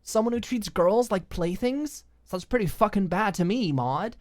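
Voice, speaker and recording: A woman reader acts out a clingy young girlfriend in an audiobook romance drama. She is pushy and jealous, insisting on her point. The voice sits higher than the narrator's own, in a dry, close recording. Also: expressive, normal speed, no echo, lightly acted. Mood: scornful. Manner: coaxing, insistent, scornful when crossed.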